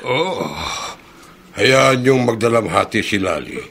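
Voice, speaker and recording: Grave, creaky-voiced fetcher of souls. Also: male voice, medium pitch, animated, slow, a bit bright, heavily acted. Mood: solemn. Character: solemn male soul-fetcher, grave messenger of death. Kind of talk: Filipino horror radio drama acting.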